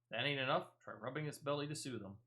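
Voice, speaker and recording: A shifty smuggler woman from a fantasy game, acted out by a man reading her lines. The voice is bright and pitched a little up, with rustic, clipped words. She sounds evasive and wheedling, forever pleading her own case. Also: fast talker, close to an everyday voice. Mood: grudging, sly. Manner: rustic dropped-letter speech, pleading and evasive. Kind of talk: video game dialogue read aloud.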